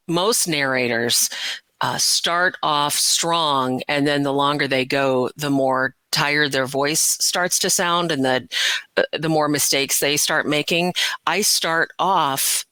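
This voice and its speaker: A woman's voice: low voice, takes it slowly, pushed and bright, no room sound. A middle-aged woman chatting as an interview guest, telling stories about her work in a casual, self-deprecating way. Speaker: interview guest, audiobook narrator. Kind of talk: casual interview, recounting career.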